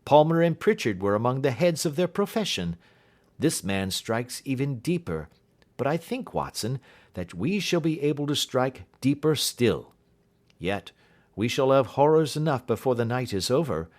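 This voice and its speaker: A man voicing a keen, assured detective in an audiobook, explaining things precisely and deliberately. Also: mid-range voice, big pitch swings, a little mellow, voice pushed up, lightly acted. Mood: grim. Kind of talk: audiobook character dialogue.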